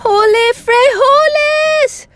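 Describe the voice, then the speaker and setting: A woman voicing a bold, excitable little cat hero who talks in a theatrical, swaggering way mixed with Spanish words, for a storybook. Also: very high voice, slow pace, slight background noise, pitched above the performer's own voice, full character voice. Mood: defiant.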